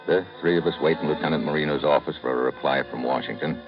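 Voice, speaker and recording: A man's voice: extremely low pitch, quick pace. An actor playing a hard-boiled American secret agent who narrates his own case in a clipped, wry, matter-of-fact way, in a vintage radio drama. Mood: narrating. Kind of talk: 1950s radio drama acting. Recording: dull vintage radio broadcast with music.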